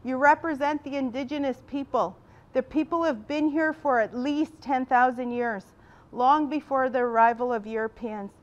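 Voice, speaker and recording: A woman leading a group workshop in a large room, projecting loudly without a microphone. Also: high voice, normal speed, muffled recording. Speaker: workshop facilitator. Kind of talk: guiding a group exercise.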